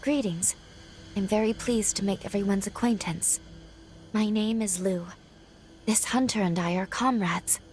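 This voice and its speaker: A woman playing a gentle, dutiful young heroine in a video game, speaking softly in formal, earnest, measured phrases. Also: mid-range voice, natural voice. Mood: warm, earnest. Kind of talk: dramatic video game cutscene acting. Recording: game music behind the voice.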